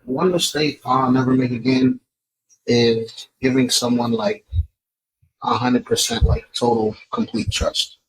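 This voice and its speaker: A man's voice: mid-range voice, big pitch swings, measured pace, creaky, a little hiss or hum, low-quality recording. A man from Florida talking candidly on a podcast, reflective and casual, with some cursing. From Florida, USA. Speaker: podcast guest.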